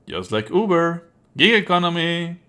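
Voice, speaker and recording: A man giving live let's play commentary as a game streamer, expressive, sardonic and exasperated, swearing casually. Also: on the high side, moderate pace, smooth.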